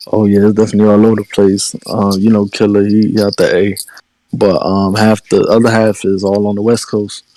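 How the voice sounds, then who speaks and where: Chill rapper guy from delaware, low flat voice, dry sound, lots of slang. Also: a little fast, no room sound, rough recording. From Delaware, USA. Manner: laid-back slang, keeps saying "you know what I mean". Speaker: rapper guest. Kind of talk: casual interview chat.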